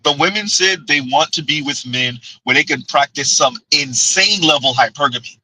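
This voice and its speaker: High, bright-voiced man riffing on stream. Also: moderate pace, slightly rough, no room sound, rough recording. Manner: laughs often, talks back to chat. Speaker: livestream host. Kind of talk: casual commentary reading chat.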